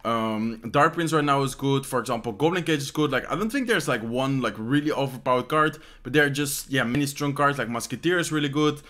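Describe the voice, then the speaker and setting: A man's voice: medium pitch, fairly quick, hardly any pauses, a bit bright. A young man from the Netherlands with a Dutch accent answers fan questions casually at home. He speaks English as a second language, rambles, and fills gaps with "like". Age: young adult. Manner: rambling, many "like" fillers and "how you say this" searches. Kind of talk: casual Q&A chat. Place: bedroom at home, indoors.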